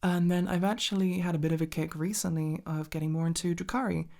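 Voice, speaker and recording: A woman's voice: on the low side, fairly even intonation, quick pace, creaky, a little mellow. A woman rambling casually in an ASMR chat, enthusiastic and sweary, with hesitant, meandering delivery. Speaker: hobby ASMR content creator.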